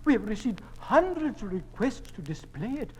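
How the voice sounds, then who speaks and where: A man's voice: sits high, big pitch swings, moderate pace, slightly rough, heavily acted. An actor playing a timid, genteel, flustered male museum curator in a radio drama, hesitant and stammering. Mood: anxious, shocked. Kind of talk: 1950s radio drama acting.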